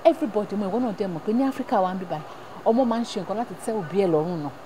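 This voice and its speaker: A woman's voice: mid-range voice, very fast, background noise, close to an everyday voice. An actress playing a worried mother who scolds and coaxes her grown son, switching between languages. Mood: coaxing. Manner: code-switching, scolding and coaxing. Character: worried middle-aged mother mediating at home. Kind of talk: emotional family drama acting.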